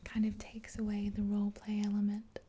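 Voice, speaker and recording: Woman, 20s, for whispered ASMR roleplay. Mellow, breathy, reassuring delivery, close-miked with some hiss. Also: medium pitch, monotone. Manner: gentle, unhurried, reassuring. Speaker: ASMR performer playing a makeup helper. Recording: hissy close-up with clicks.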